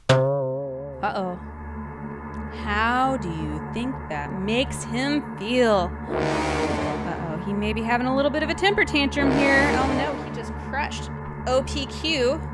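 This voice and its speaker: Young woman, children's librarian, storytime reading, high voice, unhurried pace, sounds out letters. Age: young adult. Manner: slow, animated storytime delivery with playful sound-outs. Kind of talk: reading a picture book aloud to children. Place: small home room, indoors. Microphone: large studio mic on arm in front of her.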